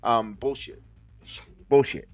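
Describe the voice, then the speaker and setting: A man's voice: medium pitch, steady tone, slow pace, dry recording. A man hosting a wrestling podcast, ranting heatedly with many stumbling restarts and curses. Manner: fiery, stumbling repeats, swears. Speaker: podcast host.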